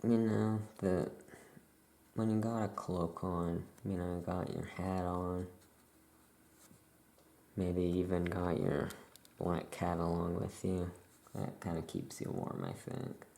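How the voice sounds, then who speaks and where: A soft-spoken ASMR performer playing a laid-back witch shopkeeper, musing aloud in an unhurried, creaky voice. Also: deep voice, fairly even intonation. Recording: faint background noise.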